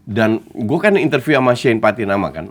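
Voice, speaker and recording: Male football coach-pundit, mid-pitched, emphatic, argues with rhetorical questions, mixes in English. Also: sing-song, normal speed. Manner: emphatic, peppers speech with English phrases. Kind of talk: casual sports talk show chat.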